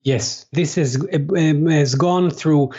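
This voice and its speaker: A man whose first language is Hebrew, answering interview questions as a translator, pausing often with fillers and restarts. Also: a little high, warm tone, husky, lo-fi sound. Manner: halting, many fillers and restarts. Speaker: guest, translator.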